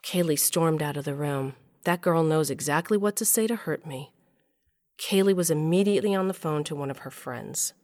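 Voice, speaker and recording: A woman's voice: low-pitched, normal speed, darkish tone, close to an everyday voice. An actress playing a wry, shaken middle-aged mother and scientist who narrates her own story confessionally in an audio drama. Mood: shaken, confiding. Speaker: narrator and lead character. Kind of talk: first-person audio drama narration and dialogue.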